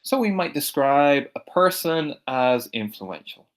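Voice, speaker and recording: A man from Ireland with an American-sounding accent teaching English vocabulary, repeating each word slowly and clearly for learners. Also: fairly high pitch, expressive. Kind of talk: recorded vocabulary lesson.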